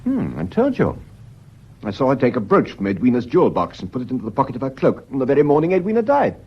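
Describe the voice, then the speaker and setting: A man acting in a radio drama as a defensive widower under suspicion, husky-voiced and prone to stammer when agitated. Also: medium pitch, huge pitch swings, fast, exaggerated voice. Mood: irritated, evasive. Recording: dull, muffled lo-fi audio.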